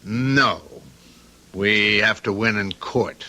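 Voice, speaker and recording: An actor playing a steady, plain-spoken male police sergeant, measured and matter-of-fact, in a radio drama. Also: fairly low pitch, full character voice. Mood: earnest, matter-of-fact. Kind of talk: old-time radio drama acting.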